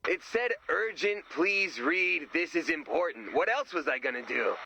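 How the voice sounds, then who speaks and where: A man's voice: on the high side, expressive, measured pace, creaky, sharp tone, a little hiss or hum, full character voice. An actor playing an eager, smug, nerdy young male sidekick in a comic video game style. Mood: eager, impressed. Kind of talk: animated video game voice acting.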